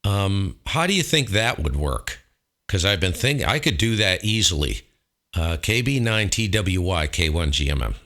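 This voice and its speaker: A man's voice: deep voice, sing-song, moderate pace, a bit bright. A man talking casually as an amateur radio operator, thinking aloud as he goes. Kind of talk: casual ham radio chat.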